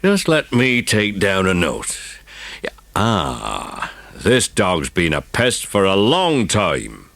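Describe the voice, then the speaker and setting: A man voicing an officious, bullying village policeman with blustering, drawn-out official talk in a children's audiobook. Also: sits low, huge pitch swings, gravelly, a bit bright, dry recording, full character voice. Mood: pompous.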